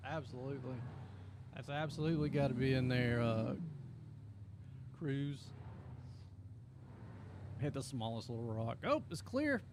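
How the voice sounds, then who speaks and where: A man's voice: medium pitch, expressive, a little slow, pauses often, background noise. A man, rambling casually and chuckling as he streams a game and answers chat questions. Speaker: streamer and video creator. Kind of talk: casual live-stream chat while playing.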